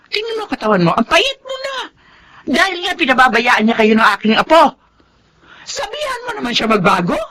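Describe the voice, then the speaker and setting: A woman's voice: medium pitch, a bit bright. An actress playing a stern, protective, disapproving older mother and grandmother in a Tagalog radio drama. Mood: pained, pleading.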